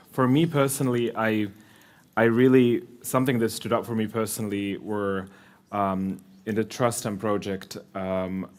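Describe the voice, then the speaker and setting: Male seminar moderator, lowish voice, soft-spoken, steady wrap-up tone. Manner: soft-spoken, frequent backchannel. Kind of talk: panel moderation.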